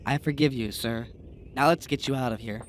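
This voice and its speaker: A man playing a deferential but quietly treacherous aide in an absurdist audio drama, measured, formal and unruffled. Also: medium pitch, a little hiss or hum, lightly acted. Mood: soothing, deferential. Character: deferential male aide, smoothly calm, quietly treacherous and ambitious.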